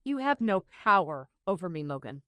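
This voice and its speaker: Wealthy defiant ex, sardonic, taunting, very expressive pitch, audio drama, close dry recording. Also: female voice, moderate pace, no echo. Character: defiant, cool, sardonic wealthy woman scorned by her ex.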